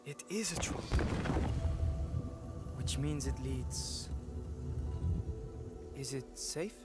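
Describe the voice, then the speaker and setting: A man playing a wary, lost young adventurer in a video game, speaking in halting short phrases. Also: medium pitch. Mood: awed, uneasy. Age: young adult. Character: young male adventurer, wary, lost, talking to his troll. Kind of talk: video game cutscene acting. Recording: loud music behind.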